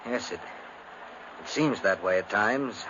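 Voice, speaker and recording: A man's voice: lowish voice, slow pace. A stern aristocratic brother, controlling and impatient, with uneasy undertones. He speaks curtly in theatrical 1950s radio style, on a muffled recording with faint hiss. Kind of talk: 1950s radio drama acting.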